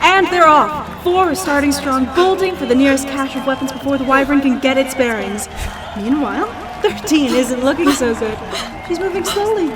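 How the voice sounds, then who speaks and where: A woman's voice: high-pitched, normal speed, lightly acted. A woman playing a showy, bloodthirsty arena announcer in an audio drama, calling a deadly fight with theatrical glee. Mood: gleeful hype. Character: gleeful, bloodthirsty female arena announcer, showy host of death games. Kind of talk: excited fight commentary. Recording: loud music and crowd behind.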